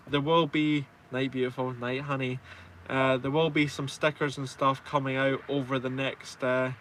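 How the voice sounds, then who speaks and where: A man's voice: medium pitch, sharp tone. Scottish man in his twenties, noisy vehicle ambience. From Scotland. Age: 20s. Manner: chatty, informal, self-deprecating banter. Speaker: truck driver and live stream host. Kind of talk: casual live stream chat, answering viewers' comments.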